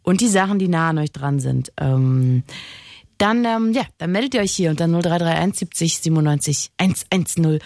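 A woman's voice: on the low side, lively intonation, moderate pace, darkish tone, dry recording, clean studio sound. A woman hosting a radio call-in show, chatting casually with a playful, teasing, informal manner. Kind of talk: casual late-night phone-in chat.

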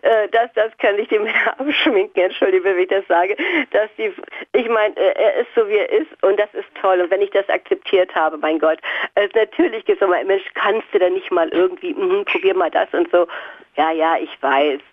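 Eine Frau in ihren Fünfzigern aus Berlin ruft in einer Radiosendung an und erzählt überschwänglich, stockend, mit vielen Füllwörtern. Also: female voice, medium pitch, breathy edge, dry recording. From Berlin, Germany. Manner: effusive, halting, many fillers. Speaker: radio caller. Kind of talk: phone-in chat. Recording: tinny phone line.

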